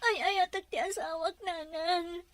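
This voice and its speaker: Woman acting a very high-pitched, tearful young wife. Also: fairly even intonation, moderate pace, crowd noise. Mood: tearful pleading. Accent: Ilocano. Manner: pleading, tearful. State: crying. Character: distraught young wife, clinging to her husband. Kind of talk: Ilocano radio-style audio drama.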